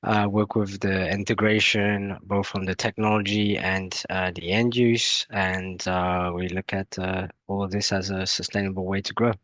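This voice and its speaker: Man with a British twang, fairly low. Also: level tone, normal speed, few pauses, a bit bright, no echo. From half French, half British. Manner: hesitant, many fillers and restarts. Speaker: guest presenter, energy company head. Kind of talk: webinar presentation.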